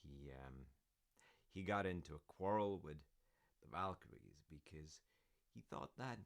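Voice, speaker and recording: A man playing a supportive, rambling dad in a roleplay audio, talking gently and hesitantly to his grown child in a gravelly voice. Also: low-pitched, unhurried. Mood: amused storytelling. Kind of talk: roleplay audio, one-sided conversation.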